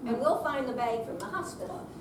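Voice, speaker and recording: A woman in an amateur stage reading, playing a brisk, practical visiting therapist. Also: a little high, close to an everyday voice. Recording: roomy, distant, noisy live room recording.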